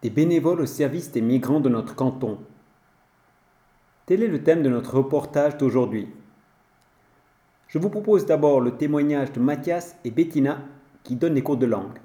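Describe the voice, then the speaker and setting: A man presenting a report and asking interview questions in a calm, measured way. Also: medium pitch, reverberant. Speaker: radio reporter.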